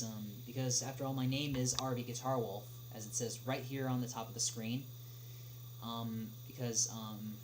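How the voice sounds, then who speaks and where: A man hosts a casual livestream, answering questions in a mid-range voice. His delivery is hesitant and stop-start, with long pauses between phrases. A noticeable humming noise runs through the recording. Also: medium pitch. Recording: noisy livestream audio with clear hum.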